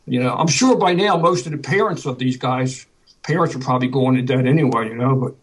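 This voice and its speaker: A man with a husky voice talking casually and reflectively in conversation. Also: mid-range voice, brisk, rough recording.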